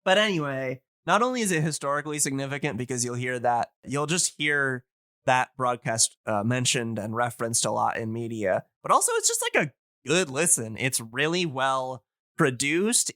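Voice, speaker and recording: A man's voice: mid-range voice, big pitch swings, moderate pace, bright, forceful tone, no echo, studio quality. A man hosting a podcast in casual chat, explaining things enthusiastically and rambling as he searches for words. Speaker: podcast host.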